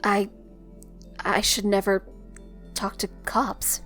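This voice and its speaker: An actress playing a young, reluctant novice hero in an audio drama, speaking hesitantly. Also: female voice, mid-range voice, flat delivery, fairly bright tone, soft background music. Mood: sullen. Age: young adult. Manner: hesitant, halting.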